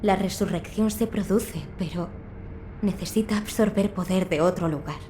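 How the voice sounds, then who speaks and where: A woman portrays a dutiful physician from a video game, with a soft, mellow tone. She speaks in a measured, formal manner with a Castilian Spanish accent. Faint ambient noise runs underneath. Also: fairly low pitch, natural voice. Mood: focused, clinical. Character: composed, dutiful female physician in feudal Japan.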